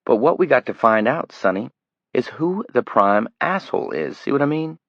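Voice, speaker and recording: Male reader doing a sneering, taunting menacing man; forceful, raised, lo-fi. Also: medium pitch, sing-song, normal speed, vocal fry, no room sound, pitched above the performer's own voice, full character voice. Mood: taunting menace. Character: menacing middle-aged man, sneering and cruel.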